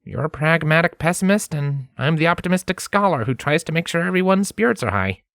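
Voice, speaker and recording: A man's voice: a little high, animated, fairly quick, fairly bright tone, no echo, clean studio sound, pitched above the performer's own voice. A man voicing an optimistic, caring male pony scholar with a casual, reassuring and slightly hesitant manner for a storybook reading. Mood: teasing.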